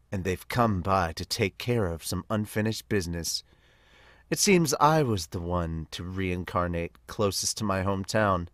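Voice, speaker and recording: A man's voice: fairly low pitch, no echo, clean studio sound, close to an everyday voice. Man playing a cold, haughty elf villain. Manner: cold, measured, pompous. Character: menacing, haughty elf invader scornful of humans. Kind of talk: amateur manga dub.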